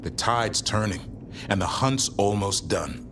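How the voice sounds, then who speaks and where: Low-voiced, confident warrior. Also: male voice, lively intonation, unhurried, a bit bright, slight background noise. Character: gruff-but-caring male warrior comrade, confident hunter. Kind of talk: acted game dialogue. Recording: game audio with clear background ambience.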